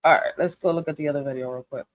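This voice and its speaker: Blunt, fairly high-voiced man in his thirties. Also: steady tone, very fast, no echo. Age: 30s. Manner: blunt, profane, thinking aloud with rhetorical questions. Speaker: reaction video host. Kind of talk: casual reaction commentary.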